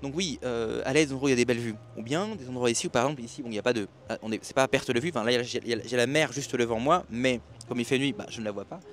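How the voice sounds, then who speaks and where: A man's voice: mid-range voice, very fast, hardly any pauses, a little hiss or hum. A man giving a rambling motivational monologue to his viewers at night by the sea, with many restarts and fillers. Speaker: self-help and expat business YouTuber.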